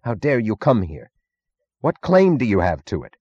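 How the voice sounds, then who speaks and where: A man's voice: lowish voice, very animated, normal speed, darkish tone, slightly rough, dry recording, lo-fi sound, voice pushed up. A man voicing an old scientist in an audiobook, proud and contemptuous, with educated, imperious outrage. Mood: bitter contempt. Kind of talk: audiobook character acting.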